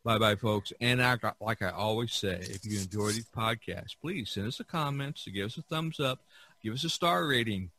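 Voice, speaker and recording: A man's voice: on the low side, moderate pace, pushed and bright, slight background noise. A man hosting a podcast in a casual, rambling, folksy way. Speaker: podcast host. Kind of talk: casual chat.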